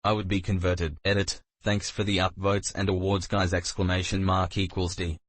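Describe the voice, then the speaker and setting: Deep-voiced guy reading comments in a flat monotone, dead dry sound. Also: moderate pace, dry recording. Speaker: comment reader. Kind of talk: reading forum comments aloud.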